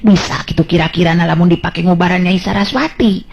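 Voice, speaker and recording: A man's voice: sits high, steady tone, fairly quick, vocal fry, slight background noise, voice pushed up. A man voicing a kindly, anxious older village woman in a Sundanese radio story, muttering hesitantly with repeated words. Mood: worried, pondering. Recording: dull lo-fi radio-style recording.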